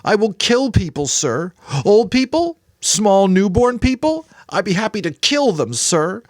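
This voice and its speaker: A man's voice: high voice, very animated, a little slow, no room sound, studio quality, voice pushed up. A man voicing a distraught, bitterly defiant adolescent boy in a dramatic audiobook reading. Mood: cruel sarcastic. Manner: tearful, sarcastic, spitting out questions.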